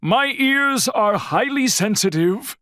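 A man's voice: extremely high pitch, expressive, takes it slowly, bright, forceful tone, dry recording, studio quality, pitched above the performer's own voice, full character voice. A man voicing a fussy, grumpy bear from a storybook, with an exasperated, theatrical delivery. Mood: irritated. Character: grumpy, fussy, dramatic male bear wanting quiet.

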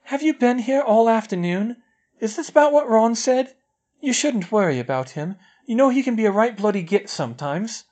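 A man's voice: sits high, animated, normal speed, a little mellow, voice pushed up. A man voicing a caring teenage schoolgirl talking through a door in an audiobook reading. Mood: concerned.

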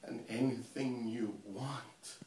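A man playing a cold, menacing serial killer confessing in a halting, intimate stage monologue. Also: medium pitch, fairly even intonation, warm tone, close to an everyday voice. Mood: eerie, entranced. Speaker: amateur actor performing a monologue. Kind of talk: theatrical dramatic monologue. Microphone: camera mic at some distance. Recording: roomy, distant camera mic, hissy.

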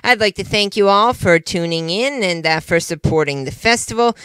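Genial puppet emcee for children's show, played by a man, high voice, courteous and halting. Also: sharp tone, clear, resonant tone, dry recording. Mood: polite, grateful. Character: bow-tied male puppet show host, genial and polite.

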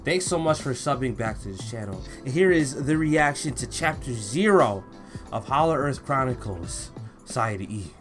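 A young man doing casual, slangy reaction commentary and read-along, expressive and given to drawn-out exclamations. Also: medium pitch, over music. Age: young adult. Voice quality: slangy, informal. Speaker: YouTube reaction host. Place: small indoor room at home.

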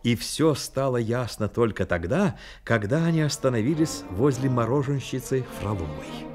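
Male storyteller for radio drama, scripted read, medium pitch, music underneath. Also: lively intonation, moderate pace. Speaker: narrator.

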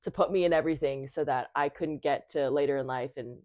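Low-voiced young woman, chatty guest. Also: fairly quick, darkish tone, no room sound, studio quality. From Thailand. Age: 20s. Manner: chatty, many fillers and restarts. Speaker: podcast guest. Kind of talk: casual conversation.

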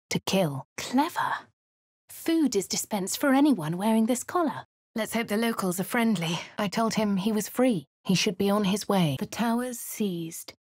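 A woman's voice: medium pitch, husky, no echo, studio quality. An actress voicing a posh female adventurer with a posh English accent, delivering cool, wry game lines and narration. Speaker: player character. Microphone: close studio mic, dry.

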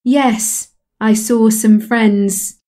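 A woman calmly repeating English model sentences in a slow, measured way for a lesson. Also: on the high side. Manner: calm, measured repetition of phrases. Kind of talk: slow scripted language drill.

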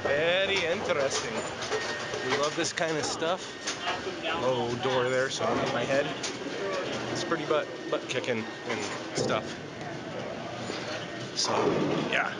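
A man's voice: medium pitch, takes it slowly, pauses often, very noisy. A man in his forties vlogging casually at a film set in a bowling alley, talking upbeat and chatty into a handheld camera. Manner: chatty, upbeat, fond of "pretty cool". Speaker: vlogger filming behind the scenes. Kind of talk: casual handheld vlog narration. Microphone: camera held at arm's length.

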